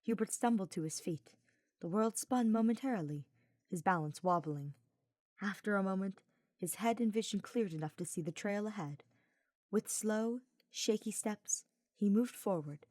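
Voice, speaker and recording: A woman's voice: mid-range voice, normal speed, darkish tone, no echo. A woman reading scripted fiction aloud as a narrator. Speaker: fan fiction audiobook reader.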